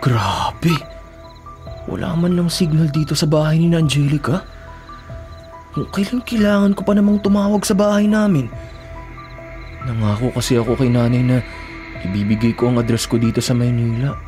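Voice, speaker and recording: A man's voice: a little high, moderate pace, pauses often, a little mellow, close to an everyday voice. An actor playing a naive, anxious young man from the provinces, polite and given to stammering, in a radio drama. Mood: puzzled, muttering. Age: young adult. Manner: stammering when startled, polite. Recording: music bed under the voice.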